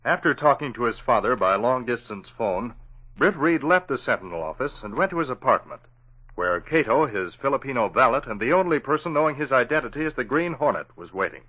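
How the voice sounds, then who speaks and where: A man with an American accent and a husky voice, giving dramatic scripted narration for a 1940s radio serial. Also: medium pitch, sing-song, brisk. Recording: muffled old radio recording.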